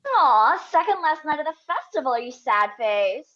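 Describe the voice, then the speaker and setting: A woman puts on a spacey, affected young party girl in a comic script read. Her high voice swoops around breezily, heard through a dull, lo-fi mic. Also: big pitch swings, normal speed, a bit bright. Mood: breezy, gushing. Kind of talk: screenplay table read, comic dialogue. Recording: dull, lo-fi microphone sound.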